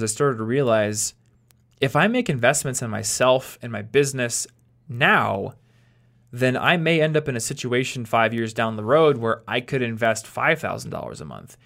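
A man in his late twenties hosting a podcast, explaining things in a relaxed chat while thinking aloud and restarting his sentences. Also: medium pitch. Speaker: podcast host.

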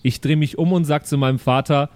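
Guy kidding around at a game table, voice a bit high. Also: steady tone, brisk, darkish tone. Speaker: role-playing podcast player. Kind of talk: casual joking at a tabletop game.

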